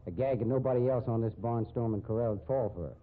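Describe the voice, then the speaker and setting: A man's voice: medium pitch, fast, natural voice. An American man playing a jealous, scheming rodeo cowboy husband with swaggering Western slang, in the style of 1950s radio drama. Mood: sly, cocky. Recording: muffled, hissy old radio broadcast.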